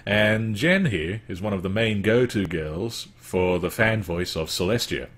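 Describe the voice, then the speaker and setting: A man with a bass voice hosting a casual interview, throwing in playful, joking asides. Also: lively intonation, a little slow, fairly bright tone. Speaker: interview host.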